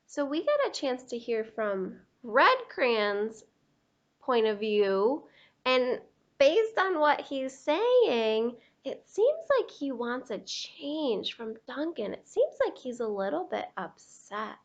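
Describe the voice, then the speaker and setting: A woman teacher explaining a picture book to children in an instructive way, with deliberate emphasis. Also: high voice, very animated, dull audio. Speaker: teacher reading aloud.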